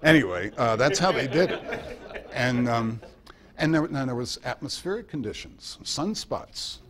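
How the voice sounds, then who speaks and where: A man's voice: mid-range voice, animated, a little slow, a bit bright. A man giving an informal history talk at a club meeting, rambling conversationally through anecdotes with wry asides.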